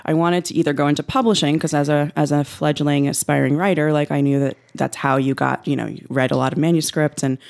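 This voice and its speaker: A woman's voice: low voice, steady tone, fast talker, creaky, few pauses, a little mellow. A woman with an American accent giving casual, wry, self-deprecating answers as a guest in a radio studio. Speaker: interview guest, writer and nonprofit consultant. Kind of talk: casual conversational interview answers.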